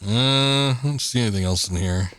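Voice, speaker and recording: A man with a gravelly voice giving rambling, joking commentary while streaming a game. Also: medium pitch, moderate pace, fairly bright tone. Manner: rambling, laid-back, joking. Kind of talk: casual gameplay commentary.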